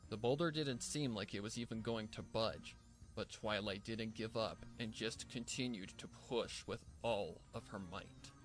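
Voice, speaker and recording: A man narrating a dramatic scripted fan fiction story in a measured, theatrical way. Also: fairly low pitch. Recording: music bed behind the voice. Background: background music.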